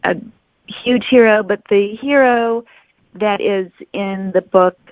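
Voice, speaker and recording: A woman's voice: medium pitch, slow, dry recording. A woman author giving explanatory answers as an interview guest. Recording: narrow phone-like sound, very dry.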